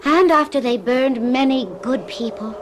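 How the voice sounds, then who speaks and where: A woman's voice: sits high, moderate pace, heavy background noise, lightly acted. An actress playing an alluring, secretive young woman in a radio drama, speaking with coquettish formality. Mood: solemn, charming. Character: charming young French innkeeper's daughter, alluring and secretive. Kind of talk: old-time radio drama acting.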